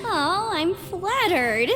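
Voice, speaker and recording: A woman voicing a cheerful, chatty young lawyer in an anime game, with a friendly, expressive manner. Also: very high voice, extremely slow, exaggerated voice. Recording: long reverb, faint music behind.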